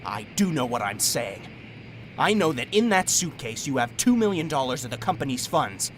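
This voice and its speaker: An actor playing a desperate, indignant company president, pleading and insistent, in the style of old radio melodrama. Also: male voice, sing-song, moderate pace, a bit bright, poor audio. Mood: desperate, demanding. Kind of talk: 1940s radio melodrama acting. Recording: faint vehicle rumble behind the voice.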